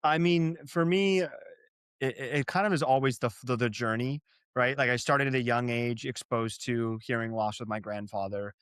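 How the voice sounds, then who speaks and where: A man's voice: medium pitch, normal speed, a bit bright, clean tone, dry recording, studio quality. A young man from Arizona, a native Gujarati speaker, speaking as an interview guest, earnest and reflective, often repeating words as he thinks. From Arizona, USA. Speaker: company co-founder, guest. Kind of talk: interview, personal storytelling.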